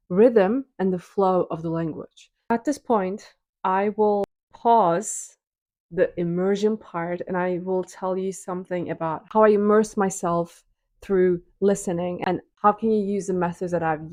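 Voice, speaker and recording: A woman's voice: fairly low pitch, darkish tone, no room sound. A young woman with a Turkish accent, chatting to camera and explaining things step by step, often restarting her phrases. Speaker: vlogger.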